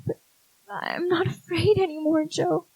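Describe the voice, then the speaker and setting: An actress playing a gentle, dying younger sister in a 1940s-style radio drama, speaking tenderly and haltingly. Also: female voice, extremely high pitch, fairly even intonation, gravelly, muffled recording, full character voice. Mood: wistful, accepting. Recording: live stage recording with music behind.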